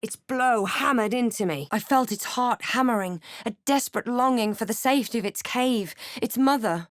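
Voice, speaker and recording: A woman's voice: a little high, moderate pace, no echo, lightly acted. Woman acting a sardonic fantasy adventurer, close and dry. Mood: grave recounting. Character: snarky, proud adult female fantasy adventurer spellcaster. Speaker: video game voice actor. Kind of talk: scripted game character lines and narration.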